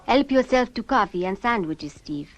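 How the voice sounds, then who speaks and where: An actress playing a cool, affectionate, gently teasing spacewoman in a 1960s puppet series, with a languid, coaxing manner. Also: a little high, lively intonation, moderate pace, natural voice. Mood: relaxed, teasing. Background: loud music.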